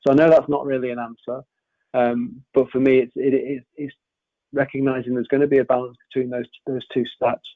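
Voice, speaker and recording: A man's voice: mid-range voice, level tone, fairly quick, dry recording. A man speaking as a webinar panel guest, hesitant and full of restarts. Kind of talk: webinar discussion. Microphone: video call. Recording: thin phone-like call audio.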